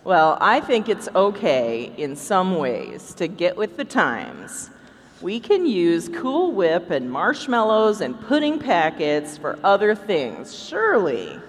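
A woman's voice: fairly low pitch, huge pitch swings, takes it slowly, full character voice. A woman playing a dry, sarcastic, skeptical church-group lady in a live comedy sketch on stage. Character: Gladys, dry, skeptical longtime church-ladies' group member. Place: convention stage in a large hall. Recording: echoey hall, live stage, background noise.

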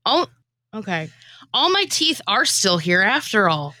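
A woman with a theatrical, animated delivery, moving between comic storytelling and casual podcast chat. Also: mid-range voice, unhurried, dry recording, studio quality. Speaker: podcast former co-host and guest performer.

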